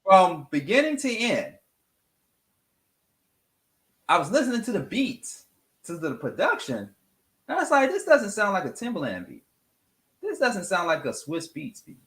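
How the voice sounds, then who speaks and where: Male show host, higher-pitched and expressive, casual music chat, emphatic repetition, echoey room. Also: normal speed. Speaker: radio morning show host.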